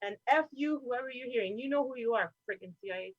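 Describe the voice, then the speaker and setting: A woman with a high voice delivers a fired-up, swearing, rambling rant as a roundtable guest. Her audio comes through a dull, lo-fi remote call with a dry, close sound. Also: expressive, fast, no room sound. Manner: impassioned, profane, rambling rant. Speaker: podcast guest. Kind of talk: roundtable discussion.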